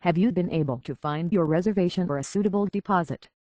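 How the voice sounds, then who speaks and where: A man's voice: sits high, fast, a little mellow, no echo, highs cut off, natural voice. A man voicing a courteous, anxious robot butler and hotel concierge in a video game, with a British accent and a formal, fussily polite manner. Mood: prim, officious. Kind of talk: scripted video game character acting.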